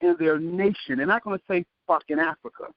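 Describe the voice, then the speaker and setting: A man in a casual group discussion, animated and insistent, swearing now and then. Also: fairly high pitch, a little fast, no room sound. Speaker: podcast panelist. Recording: dull dry lo-fi audio.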